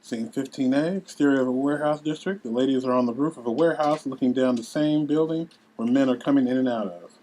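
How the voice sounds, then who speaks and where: A narrator at a table read delivers scene headings and stage directions. The voice sits at a medium pitch. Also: fast talker, hardly any pauses, mellow, poor audio.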